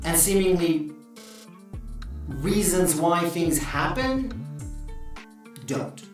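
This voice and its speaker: A woman's voice: sits low, darkish tone. A woman talking about herself and her work in an interview. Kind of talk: interview talk. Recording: very echoey, distant mic, music behind.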